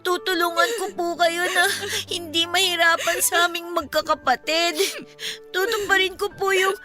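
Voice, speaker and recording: A woman's voice: very high-pitched, pushed and bright. A woman playing a polite, earnest young eldest daughter of about ten in a radio drama, anxious to please. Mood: earnest, loving.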